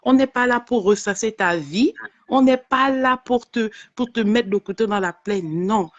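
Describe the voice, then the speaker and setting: A woman's voice: a little high, measured pace. A woman calling in to a live chat, speaking casually and reassuringly. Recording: dull lo-fi call audio.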